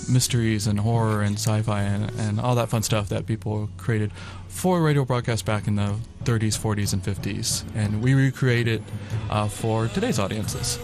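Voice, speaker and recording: A man's voice: fairly low pitch, steady tone, moderate pace, few pauses, over music. A man talking as an interview guest, explaining things in an informal way. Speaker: radio theatre member, interview guest. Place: college radio studio.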